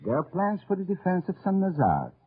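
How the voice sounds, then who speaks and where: An actor playing a sly, courteous French resistance leader with a French accent and formal, wry phrasing, in old radio drama style. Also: male voice, high-pitched, huge pitch swings, fairly quick, husky, full character voice. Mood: wry, businesslike. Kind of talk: 1940s-50s radio drama acting. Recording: muffled noisy old radio recording.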